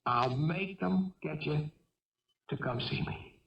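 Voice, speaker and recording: A man's voice: on the high side, slow, vocal fry, far from the mic. An actor playing a troubled young fugitive killer in a 1970s radio drama, defensive and childlike, stammering and repeating himself as he pleads. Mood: pleading, tearful. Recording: roomy, muffled old radio broadcast.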